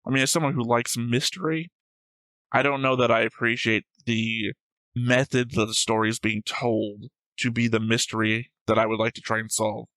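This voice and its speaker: A man's voice: mid-range voice, steady tone, moderate pace, a bit bright, no echo, rough recording. A man co-hosting a reaction show, discussing and reading comments in a casual, analytical way with some argument and casual swearing. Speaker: co-host of anime reaction show.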